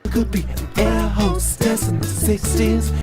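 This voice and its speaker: A woman's voice: medium pitch, a little slow. A woman singing improvised lines to a plucked guitar. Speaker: singer repeating dictated lyrics. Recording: loud acoustic guitar over voice.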